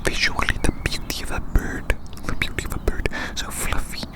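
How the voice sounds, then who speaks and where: An ASMR performer delivers a rambling roleplay monologue entirely in a breathy, unvoiced whisper. Phrases come back again and again, often closed with a gentle tag question. The wording is rather formal. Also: normal speed.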